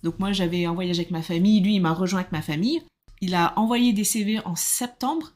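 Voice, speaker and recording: A French woman in her thirties, a podcast guest, telling her story in lively casual talk and acting out what others said. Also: fairly low pitch, brisk. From France. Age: 30s. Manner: animated, acts out quoted dialogue, laughs often.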